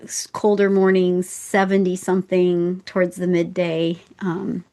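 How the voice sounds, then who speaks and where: A woman's voice: fairly low pitch, completely flat delivery, measured pace, dark tone. A woman co-hosting a podcast, explaining in casual chat with frequent restarts. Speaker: podcast co-host, accountant.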